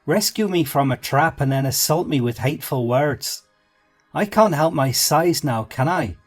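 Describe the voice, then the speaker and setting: Brief: man putting on a little man, high and raspy, easily offended, pitch leaping about. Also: sing-song, soft background music, full character voice. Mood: indignant. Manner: indignant, quick to take offense. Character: tiny touchy Irish man caught in a trap. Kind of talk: storybook character voice.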